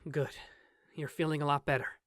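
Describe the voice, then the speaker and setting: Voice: mid-range voice, level tone, moderate pace, fairly bright tone, no room sound, clean studio sound, lightly acted. An actor voicing an earnest, worried male college student in a game fan dub, plain-spoken and concerned.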